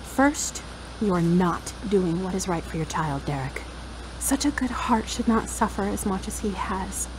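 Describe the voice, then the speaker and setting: A woman's voice: on the high side, background noise, lightly acted. A woman playing a hurt, headstrong young woman in an audio drama, speaking frankly and earnestly. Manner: frank, searching.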